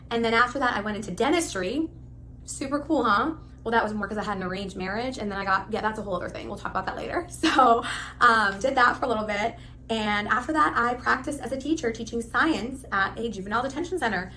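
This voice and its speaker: A woman in her thirties, originally from Pakistan, giving a spontaneous, rambling, animated self-introduction. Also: medium pitch, slight background noise. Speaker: live stream host applicant.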